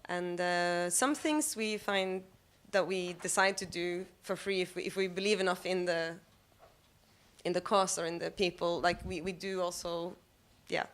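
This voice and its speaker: Panelist, woman, lowish flat delivery, thinks aloud, self-deprecating. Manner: thoughtful, halting, self-deprecating. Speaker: panelist, member of a collective. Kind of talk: live panel discussion. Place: cafe of an arts venue.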